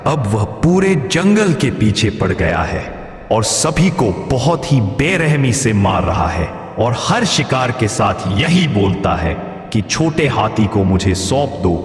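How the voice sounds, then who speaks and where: A man's voice: medium pitch, big pitch swings, slow, soft background music. Man acting a proud aging lion king, echoing reverb. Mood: stern. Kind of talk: animated moral story dialogue. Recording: echoey, long reverb.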